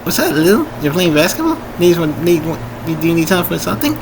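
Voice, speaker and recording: Guy doing an excitable sweet giant creature girl from a story, voice pushed way up, background hum. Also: brisk. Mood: excited greeting. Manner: bright, excitable, high-pitched put-on voice. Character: eager, affectionate giant female ribboned fantasy creature.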